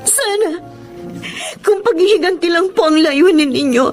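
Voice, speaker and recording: A woman's voice: very high-pitched, expressive, measured pace, faint music behind. A woman playing a suffering eighteen-year-old daughter in a Filipino radio drama, plaintive and reproachful. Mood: tearful reproach. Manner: emotional, plaintive delivery.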